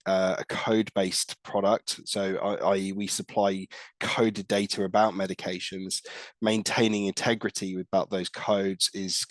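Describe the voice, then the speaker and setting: A man's voice: sits low, dry recording. Bunged-up man with a cold, dry sound. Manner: hesitant, many fillers and restarts. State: stuffy nose from a cold. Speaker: guest speaker, tech lead. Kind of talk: conference call presentation. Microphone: video call.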